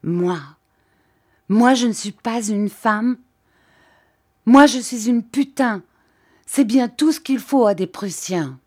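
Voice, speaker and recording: A woman's voice: fairly low pitch, animated, a little slow, darkish tone. A woman doing a fiery, defiant young woman. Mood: vehement. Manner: vehement, angry.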